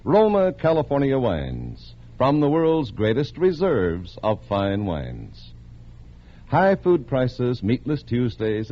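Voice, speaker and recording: A man announcing as a polished, emphatic pitchman in 1940s radio commercial style. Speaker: sponsor's announcer. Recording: dull old radio recording.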